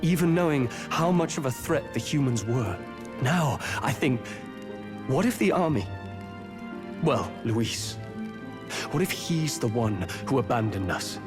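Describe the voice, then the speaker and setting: Young noble soldier, played by a man, earnest and reflective with a bitter edge, ordinary male pitch, music behind. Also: expressive, a little slow, pauses often, close to an everyday voice. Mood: grave, bitter. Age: young adult. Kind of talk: video game voice acting.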